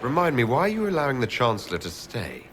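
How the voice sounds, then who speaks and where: An actor playing a cool, restrained, dryly witty hero in a fantasy video game. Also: male voice, mid-range voice, huge pitch swings, fast, a bit bright, a little hiss or hum. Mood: cool, wry. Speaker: player character in a game.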